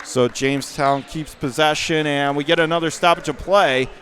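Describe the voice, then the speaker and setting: A man with a Western New York accent calling a high school basketball game live on radio, rattling off plays and rising to shouts on big moments. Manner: rapid calls that surge to excited shouts on big plays. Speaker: radio play-by-play announcer.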